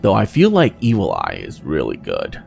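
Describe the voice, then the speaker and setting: A man's voice: very deep voice, wildly swooping pitch, moderate pace, vocal fry, darkish tone, soft background music. A man giving casual gameplay commentary, thinking aloud as he weighs his choices. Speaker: gamer commenting on own playthrough.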